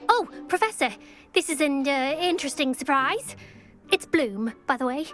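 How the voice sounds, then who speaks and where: A teenage witch at school in a video game. Her voice is high and nervous, with wry, hesitant phrasing. Music plays clearly behind her. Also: lively intonation, moderate pace, fairly bright tone.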